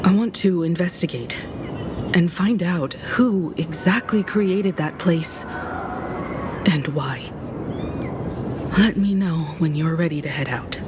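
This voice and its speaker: An actress voicing a somber, composed woman haunted by the past, speaking in a measured, reflective way in a video game. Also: on the low side. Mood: quietly resolute. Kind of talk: dramatic video game voice acting. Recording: loud music under the voice. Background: game music.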